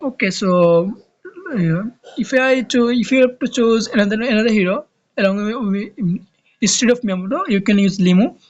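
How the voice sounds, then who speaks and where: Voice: mid-range voice, normal speed, highs cut off. A speaker from Bangladesh, a native Bengali speaker with a Bangladeshi accent, explaining a strategy game in a casual tutorial. They often restart words and search for terms. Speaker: game guide creator.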